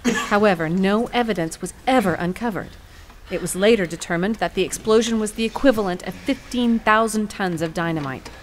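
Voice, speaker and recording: A woman playing a determined attorney in an audio courtroom drama, speaking formally and deliberately. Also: medium pitch, a little hiss or hum, natural voice. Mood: dramatic. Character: poised, determined female attorney arguing in court.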